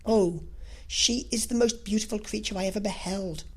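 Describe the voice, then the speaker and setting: A woman voicing a cheerful, sociable young gentleman in a novel reading, speaking in a friendly, enthusiastic, urging way. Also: medium pitch, normal speed, breathy edge, natural voice. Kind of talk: classic novel audiobook character reading.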